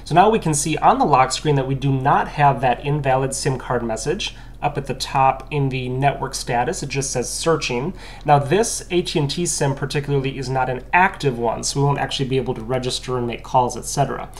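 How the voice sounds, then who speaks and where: A young man hosting a tech video, calmly walking through a phone unlock in a small room, recorded on the camera's mic. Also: medium pitch, fairly quick, hardly any pauses. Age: young adult. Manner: matter-of-fact, explanatory. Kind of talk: tech demonstration walkthrough.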